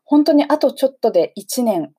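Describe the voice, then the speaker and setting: A woman presents a local radio interview in a mellow, fairly high voice. She is polite and keen, talking appreciatively to her guest in a conversational way. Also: sing-song, normal speed, breathy edge.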